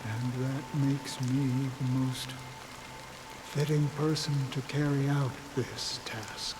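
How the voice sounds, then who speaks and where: A man's voice: mid-range voice, takes it slowly, natural voice. A man playing a weary, solemn veteran warrior in a game cutscene, speaking in a grave, philosophical manner. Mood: grave, resolute. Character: weary, solemn male veteran warrior guiding lost souls. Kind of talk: dramatic scripted game cutscene acting. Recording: steady rain noise behind the voice. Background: rain.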